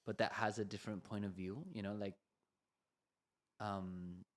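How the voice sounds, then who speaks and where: A man's voice: fairly low pitch, level tone, measured pace. A young Argentine man co-hosting a podcast, telling his story in a loose, rambling way full of "you know" fillers. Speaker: podcast co-host. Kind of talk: casual conversational storytelling.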